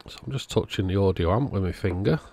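A man, host of an electronics repair video, calmly thinking aloud as he methodically works on a radio at his workbench. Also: low voice, quick pace, gravelly, breathy edge, dry recording. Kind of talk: casual narrated repair walkthrough.

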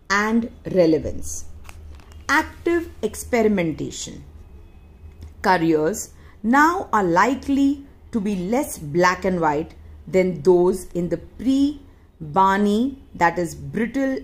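A woman reading a newspaper article aloud in deliberate phrases with frequent pauses. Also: mid-range voice. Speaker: audiobook reader.

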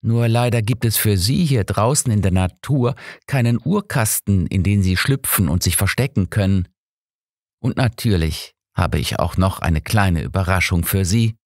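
A man's voice: lowish voice, expressive, moderate pace, darkish tone, no room sound, clean studio sound. A man voicing a bitter, mockingly cheerful killer in an audiobook, theatrical and taunting. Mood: mocking, menacing. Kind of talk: audiobook character acting.

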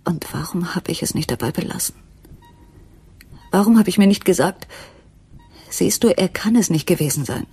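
Husky, distraught wife in radio drama. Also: female voice, fairly low pitch, animated, normal speed, pauses often, darkish tone, exaggerated voice. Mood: anguished doubt. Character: distraught, doubting wife of a jazz musician, a mother. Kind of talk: German radio crime play acting.

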